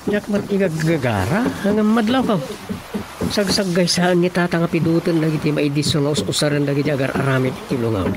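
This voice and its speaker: A man's voice: fairly high pitch, brisk, background noise, close to an everyday voice. A man acting a grumbling, gossipy villager in a radio drama comedy, speaking with an Ilocano accent. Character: man grumbling and gossiping about his father's coffin-making.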